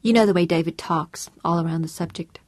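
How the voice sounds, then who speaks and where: A woman's voice: fairly low pitch, brisk, darkish tone, no echo, natural voice. A woman narrating an audiobook voices an earnest, chirpy American housewife with a sing-song American accent. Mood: chatty.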